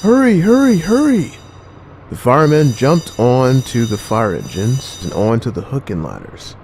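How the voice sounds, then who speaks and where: A man reading a children's storybook aloud in an expressive, dramatized narrating style. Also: mid-range voice, moderate pace, vocal fry, slight background noise. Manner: expressive, dramatizing key words. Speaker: audiobook narrator.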